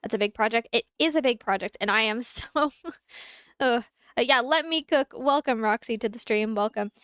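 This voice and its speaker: A woman's voice: on the high side, normal speed, a bit bright, clear, resonant tone, dry recording, studio quality. A woman streaming a game live, chatting to her viewers and the game's animals in a rambling way, slightly nasal from congested sinuses. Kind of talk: casual live gameplay chat. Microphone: desk mic at a computer.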